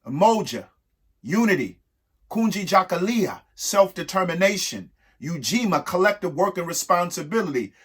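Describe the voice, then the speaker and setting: A husky-voiced man reading a rhyming picture book aloud expressively, emphatic, with pauses between short phrases. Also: high-pitched, big pitch swings. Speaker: reader of a children's picture book. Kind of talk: expressive read-aloud of a rhyming alphabet book with spoken asides.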